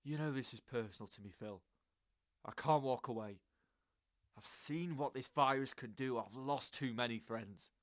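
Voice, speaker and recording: An actor playing a desperate, defiant man in an audio drama, with a forceful and bitter manner. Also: mid-range voice, normal speed, no echo, studio quality, natural voice. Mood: bitter, anguished.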